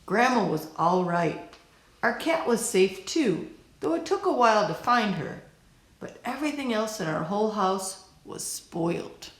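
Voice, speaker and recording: Female storybook reader, mid-pitched, slow and deliberate, echoey room. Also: big pitch swings. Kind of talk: expressive read-aloud of a children's picture book.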